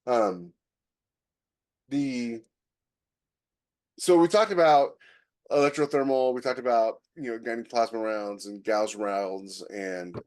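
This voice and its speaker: A man's voice: medium pitch, slow pace, long pauses, darkish tone. A man co-hosting a podcast, chatting casually and explaining things in a conversational way. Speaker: podcast co-host. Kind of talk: casual chat.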